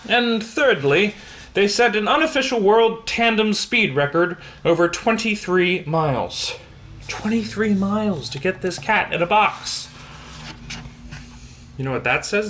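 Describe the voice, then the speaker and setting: A man's voice: high voice, lively intonation, measured pace, a bit bright, slight background noise. A man reading a children's picture book aloud, stumbling now and then and adding casual comments on the pictures. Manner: stumbles over words and explains the pictures as he goes. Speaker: bedtime story reader.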